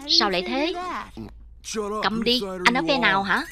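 High-voiced woman dubbing over a cartoon. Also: lively intonation, moderate pace. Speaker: voice-over translator. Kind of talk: scripted cartoon dub narration, one reader voicing every character over the original. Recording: loud film soundtrack underneath. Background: original cartoon audio and music.

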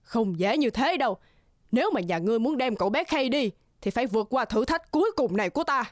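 A woman's voice: a little high, expressive, fast, dry recording, clean studio sound, lightly acted. A woman voicing a haughty, menacing snow queen in a children's cartoon, taunting and imperious.

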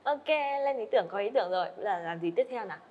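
A woman's voice: medium pitch, expressive, breathy edge, lo-fi sound. A young woman hosting a casual interview podcast on a studio set, chatty and curious. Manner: chatty, curious, quick to react. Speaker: podcast host.

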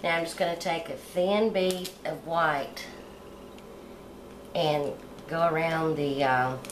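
A middle-aged woman hosting a cooking channel, explaining step by step how to decorate a sugar egg at home in a relaxed, homey way. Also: deep voice, takes it slowly, echoey room, a little hiss or hum. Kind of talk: hands-on decorating tutorial.

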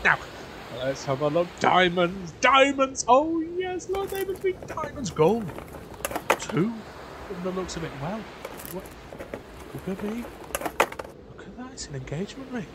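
A woman's voice: medium pitch, huge pitch swings, a little slow, pauses often, noisy background. A woman playing a grasping, grumpy old man in an audio drama, muttering and exclaiming. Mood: greedy excitement.

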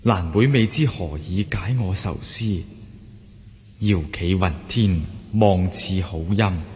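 An actor playing a melancholy, lovelorn young scholar in a radio drama, voicing his letter in formal, measured literary phrases. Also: sits low, a little mellow. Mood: melancholy, yearning. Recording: long hall-like reverb on voice.

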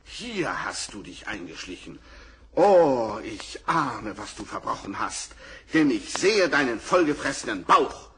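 A man's voice: mid-range voice, big pitch swings, unhurried, fairly bright tone, breathy edge, full character voice. A man playing a bold, righteous huntsman in a German fairy-tale radio play, speaking declamatorily and scolding, on a crackly old record. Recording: distant crackly vinyl record.

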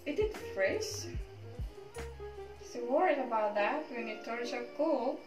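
A woman's voice: on the high side, expressive, slow pace, over music. A young woman playing a dutiful, sympathetic sheriff's wife in an amateur student drama, in an echoey room. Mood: worried. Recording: echoey room, far from microphone.

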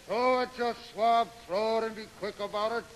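Bossy shipwrecked sailor, played by a man: high-pitched, brash, long echo, vintage radio drama. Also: steady tone, normal speed, close to an everyday voice. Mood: bossy, irritated. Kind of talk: 1930s radio drama acting. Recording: echoing, hissy 1930s radio recording.

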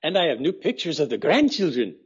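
An actor playing an older male driver, a polite, stammering grandfather with a husky voice. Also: a little high, very animated, fairly quick, muffled recording, heavily acted. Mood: warm.

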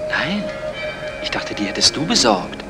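An actor playing a calm, dry husband in a radio crime comedy, with a measured and polite delivery. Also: male voice, fairly low pitch, music in the background. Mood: calm, candid. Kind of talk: 1960s German radio play acting.

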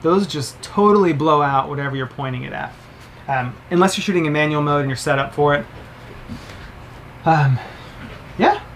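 A man's voice: fairly high pitch, normal speed, long pauses, fairly bright tone, slight background noise. A man in his thirties casually reviewing camera gear to the camera, chatty and upbeat, explaining as he handles each item in a boat cabin. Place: cabin of a sailboat, indoors.

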